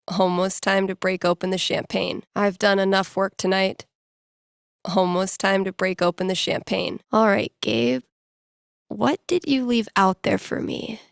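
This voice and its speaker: Young American female DJ, video game character, sardonic, playful, self-deprecating, casual delivery, vocal fry, leisurely pace. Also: medium pitch, no echo, studio quality. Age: young adult. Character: wry, playful young woman, small-town radio DJ and tabletop gamer. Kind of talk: naturalistic video game acting, casual muttered asides.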